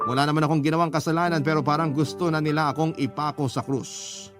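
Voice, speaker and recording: A man with a Filipino accent reading a first-person letter as scripted narration for a radio drama, in a measured storytelling manner. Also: a little high, fairly even intonation. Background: clear music underneath.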